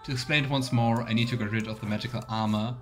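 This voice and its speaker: A male streamer with an average-pitched voice gives casual Let's Play commentary. He muses and rambles as he thinks aloud, with faint music behind him. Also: steady tone, quick pace. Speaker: game streamer. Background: faint game music.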